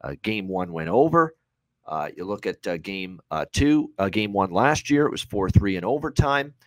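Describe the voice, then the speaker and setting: A man's voice: low-pitched, big pitch swings, moderate pace, dry recording. A man in his thirties giving unscripted sports betting commentary as an analyst, emphatic and repeating his points. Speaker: sports betting analyst on a hockey preview show. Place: small home room, indoors, on a video call.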